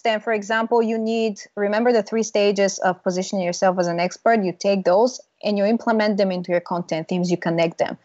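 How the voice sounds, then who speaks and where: Bulgarian-born young woman on a video call, confident and punchy, highish voice, dull dry call audio. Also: a little mellow, no room sound. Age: young adult. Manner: confident, emphatic, laughs now and then. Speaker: guest, branding consultant. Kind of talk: casual interview on video call. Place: small room indoors. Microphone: earbud mic on video call.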